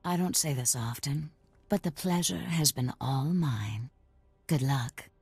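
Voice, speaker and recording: A woman playing a matter-of-fact tracker and ally in a video game, composed and businesslike, with a husky voice. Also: rumbling low, measured pace, a little mellow, no echo, exaggerated voice. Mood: respectful.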